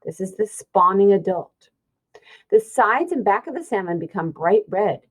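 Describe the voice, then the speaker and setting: A woman's voice: mid-range voice, expressive, normal speed. A woman teacher reading a children's science book aloud, explaining and pointing things out simply.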